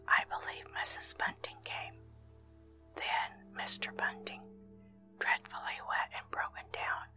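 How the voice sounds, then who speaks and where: Slow whispering woman reading a story. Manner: soft unvoiced whisper throughout, slow and measured. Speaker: narrator. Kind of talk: whispered ASMR audiobook reading.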